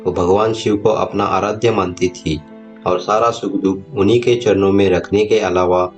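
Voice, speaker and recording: Low-voiced man narrating over music. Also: fairly even intonation, normal speed. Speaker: story narrator. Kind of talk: scripted moral-story narration. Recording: music bed behind the voice.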